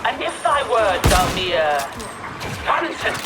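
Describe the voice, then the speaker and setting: An actor playing a scornful cultist herald of a plague god in a video game, with archaic, pompous, threatening speech. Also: male voice, sits high, sing-song, unhurried, very noisy. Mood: contemptuous. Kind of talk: theatrical video game villain acting.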